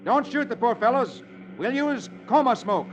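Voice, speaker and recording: A man's voice: very high-pitched, expressive, normal speed, voice pushed up. A man voicing a confident, hearty space adventurer in the style of a 1950s radio serial. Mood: commanding. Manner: bold, hearty. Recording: lo-fi old radio, loud music behind.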